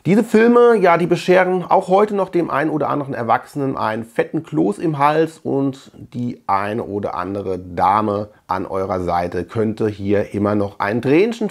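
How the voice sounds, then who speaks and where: Man, average pitch, casual video review, conversational delivery, indoor room. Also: vocal fry. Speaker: host of a film review channel. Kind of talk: casual review talk to camera. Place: small room full of shelves and collectibles, indoors.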